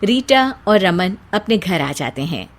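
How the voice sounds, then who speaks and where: A woman's voice: mid-range voice, very animated, a little slow, very noisy. A woman narrating a scripted family story as the storyteller.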